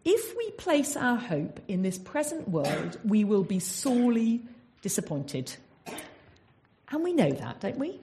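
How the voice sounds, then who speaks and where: Earnest English woman preaching, animated pitch. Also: measured pace. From England. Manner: earnest, asks the listeners rhetorical questions. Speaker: Bible teacher. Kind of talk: sermon.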